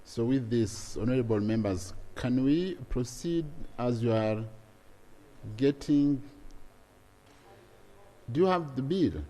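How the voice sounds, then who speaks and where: A man chairing a parliamentary session, speaking in a slow, deliberate way. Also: mid-range voice, animated, mellow, rough recording. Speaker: presiding speaker.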